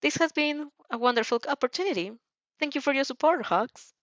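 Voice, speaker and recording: A woman's voice: high-pitched, expressive, fairly quick, a bit bright, no echo, voice pushed up. Woman reading as an earnest young heroine, muffled sound. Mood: grateful. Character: earnest, emotional young heroine, student of heroes. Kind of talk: audio fan fiction character reading.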